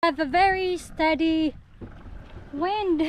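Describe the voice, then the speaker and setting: Super high pitched woman vlogging from a sailboat, gets excited easy, wind noise all over. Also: drawn-out words. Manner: excitable, frequent loud exclamations. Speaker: sailing vlogger host. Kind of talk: casual vlog narration on a boat. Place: outdoors on a sailboat at sea. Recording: wind noise on an outdoor mic. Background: wind and boat noise.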